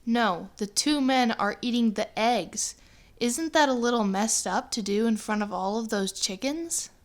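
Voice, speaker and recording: A woman's voice: on the high side, a little slow. A woman listening to a story and offering blunt, indignant remarks.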